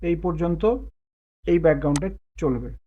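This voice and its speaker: A man giving casual step-by-step software instruction, thinking aloud as he works. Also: fairly high pitch, normal speed, a little hiss or hum. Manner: explains while working, thinking aloud. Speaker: tutorial host. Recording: muffled phone-like narow-band sound.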